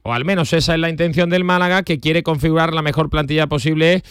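A man presents the sports news on regional radio with brisk, practiced delivery. His voice sits fairly high and comes across smooth and crisp, recorded close and dry in a studio. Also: fairly even intonation, fairly bright tone, no room sound. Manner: brisk newsreading with light banter.

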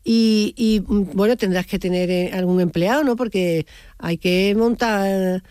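A woman's voice: mid-range voice, measured pace, mellow, smooth, dry recording, clean studio sound. A woman hosting a radio call-in, chatty and prompting, often repeating words as she goes. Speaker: radio host. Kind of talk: casual call-in interview.

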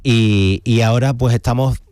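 A man's voice: medium pitch, fairly even intonation, moderate pace, darkish tone, smooth, no room sound, studio quality. A man in his forties with an Andalusian accent from Seville, an actor chatting casually as an interview guest. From Seville, Spain.

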